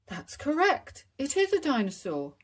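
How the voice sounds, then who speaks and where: A woman's voice: sits high, wildly swooping pitch, a little slow, pitched above the performer's own voice, full character voice. A woman voicing a proud adult male rabbit expert in a children's storybook, making emphatic announcements. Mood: impressed.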